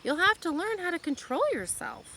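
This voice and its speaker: A woman reading a gentle, firm farmer dad. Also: very high-pitched, sing-song, normal speed, slight background noise, voice pushed up, heavily acted.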